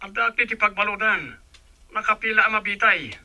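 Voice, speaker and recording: An actor in a radio drama playing a remorseful condemned prisoner abroad, pleading and regretful. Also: male voice, high-pitched, normal speed, bright, forceful tone, no echo. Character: remorseful man jailed abroad, awaiting execution, phoning an old love. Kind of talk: Ilocano radio drama acting.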